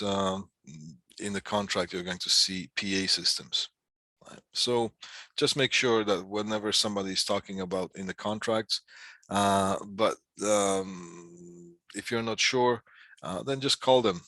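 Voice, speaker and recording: A man with a fairly low but bright, forward voice lectures informally to a class. He works through definitions in short, halting phrases and adds explanatory asides. The sound is close and very dry. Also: no room sound. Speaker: instructor giving a class on PA systems.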